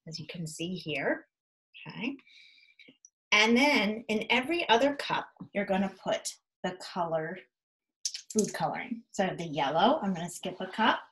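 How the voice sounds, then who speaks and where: A woman's voice: mid-range voice, low-quality recording. A woman in her thirties, a teacher explaining an activity to young children in simple, direct instructions at home. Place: small indoor rooms at home, living room and kitchen. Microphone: device camera at about arm's length or a little further.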